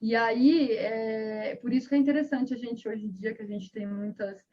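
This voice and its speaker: Woman, husky voice, earnest, restarts often, video call, dull audio. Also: on the high side, steady tone, moderate pace. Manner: earnest, many fillers and restarts. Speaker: interview guest, bilingual parent. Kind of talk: casual video call interview.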